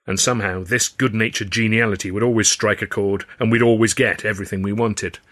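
A man reading a scripted first-person story as an audiobook narrator, in an expressive storytelling manner. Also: fairly low pitch, brisk.

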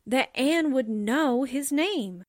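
A woman's voice: high-pitched, lively intonation, slow pace, dry recording, studio quality. A woman playing a plucky, suspicious young newspaper secretary in a theatrical 1940s radio drama style. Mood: sure, conspiratorial.

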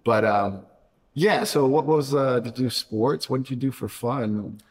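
A man's voice: medium pitch, a little slow, a little mellow, big-room sound. A man hosting a podcast interview, chatting casually with many fillers and jokey asides. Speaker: podcast host.

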